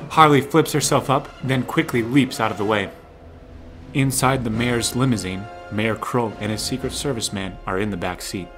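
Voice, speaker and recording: A man's voice: mid-range voice, normal speed. A man narrating a scripted audio drama in the present tense. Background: music.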